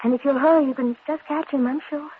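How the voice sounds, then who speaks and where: An actress playing a devoted, scatterbrained young American secretary with a fluttery manner, in 1940s radio style. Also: female voice, high-pitched, fairly even intonation, quick pace, natural voice. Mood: flustered. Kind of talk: 1940s radio comedy-drama acting. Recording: dull, noisy 1940s radio recording.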